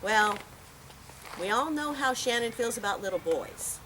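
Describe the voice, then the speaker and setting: A woman's voice: a little high, animated, a little slow, bright, forceful tone. Woman giving a eulogy, noisy outdoor recording. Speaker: eulogy speaker at a memorial. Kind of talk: heartfelt eulogy to a gathering. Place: outdoor park memorial gathering. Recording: noisy outdoor park ambience.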